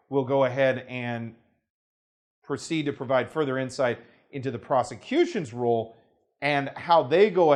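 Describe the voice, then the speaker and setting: American attorney explaining law, formal and measured. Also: male voice, medium pitch. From United States. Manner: measured, formal legal phrasing with hedges and restarts. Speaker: attorney presenting a legal explainer. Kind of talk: unscripted talking-head explanation.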